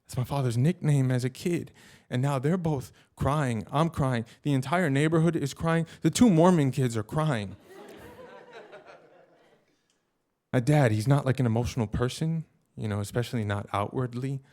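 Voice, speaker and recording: A man's voice: mid-range voice, measured pace, some chatter behind. Man telling a personal story, slangy and colloquial. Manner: animated, colloquial, with slangy asides. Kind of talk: live personal storytelling on stage.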